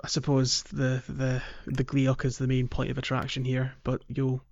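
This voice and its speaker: A man's voice: mid-range voice, completely flat delivery, normal speed, gravelly, a little mellow, no room sound. A man co-hosting a podcast, chatting casually. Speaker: podcast co-host. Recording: slightly dull lo-fi microphone.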